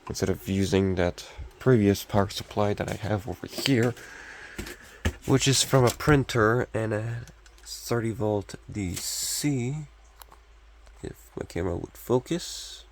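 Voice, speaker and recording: Young male hobbyist, casual show-and-tell, scratchy post-illness voice with lots of fry, faint noise behind. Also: on the low side, measured pace. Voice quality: scratchy, gravelly. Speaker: hobbyist collector showing restored radio.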